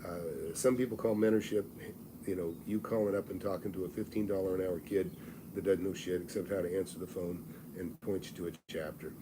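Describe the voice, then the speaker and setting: Older male host in his sixties, low-pitched and plainspoken, pitching mentorship live over audible background noise. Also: steady tone, a little fast. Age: 60s. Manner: blunt, folksy and salesy, with frequent restarts. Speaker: real estate mentor and channel host. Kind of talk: live-streamed advice talk.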